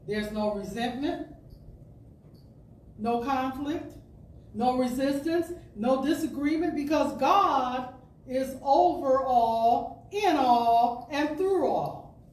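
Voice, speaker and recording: A woman giving an expressive reading of an inspirational talk, like a storyteller, with deliberate phrasing and emphatic pauses. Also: sits high. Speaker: storyteller and speaker at a spiritual center. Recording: distant roomy pickup with background noise.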